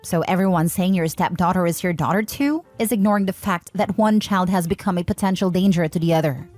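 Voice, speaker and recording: A woman reading forum posts aloud as scripted narration, in an even, steady way. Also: fairly low pitch. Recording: faint background music.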